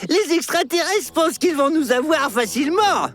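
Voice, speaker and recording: A performer voicing an excitable, paranoid young dinosaur in a children's cartoon, dramatic and alarmist, stubborn and bossy. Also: high-pitched, normal speed. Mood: indignant, suspicious. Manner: dramatic, alarmist, hesitant stammering. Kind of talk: children's cartoon dubbing in French. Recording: voice under loud music.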